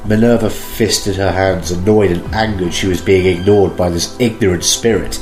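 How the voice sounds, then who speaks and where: A man with a very low voice reads the narration of a fan audio drama in a steady way. His voice sits in a roomy, echoing space, with clear music underneath. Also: moderate pace. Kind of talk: read-aloud story narration.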